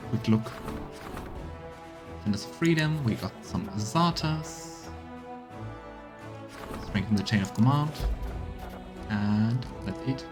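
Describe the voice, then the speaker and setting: A man's voice: fairly low pitch, animated, slow, long pauses. Musing male streamer over game music. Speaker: streamer playing a video game. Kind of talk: casual let's play commentary.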